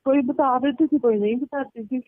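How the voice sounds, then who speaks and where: A woman's voice: sits high, moderate pace, no echo. A woman chatting casually over the telephone, telling a personal story in a rambling, repetitive way. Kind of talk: casual phone chat, recounting anecdotes. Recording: muffled, band-limited phone call recording.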